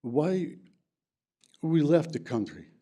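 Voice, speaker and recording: An older man from the former USSR, tongue Plattdeutsch, speaking English in halting public comment at a county board meeting, full of fillers and broken grammar. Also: fairly high pitch, very animated, mellow. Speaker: resident giving public comment.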